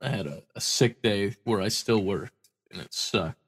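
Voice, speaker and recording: A man's voice: lowish voice, moderate pace, husky. A man co-hosting a podcast in casual chat, stuffy-nosed from a cold, tired and rambling, swearing casually. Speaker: podcast co-host.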